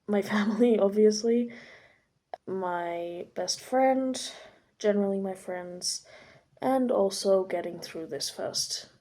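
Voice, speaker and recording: A woman's voice: medium pitch, slow pace, warm tone. A 21-year-old woman chatting to camera as a vlogger, giving plain, matter-of-fact answers. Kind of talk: casual chat to camera.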